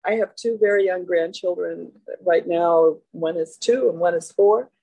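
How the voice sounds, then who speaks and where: A woman in her seventies, a guest talking candidly and a little haltingly about her experience. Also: lowish voice, creaky, warm tone, no echo, poor audio. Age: 70s. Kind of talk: interview.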